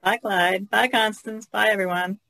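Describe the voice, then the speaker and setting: Female podcast guest, casual, very creaky and bright, dry recording. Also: mid-range voice, fairly even intonation, normal speed, no room sound. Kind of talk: casual chat.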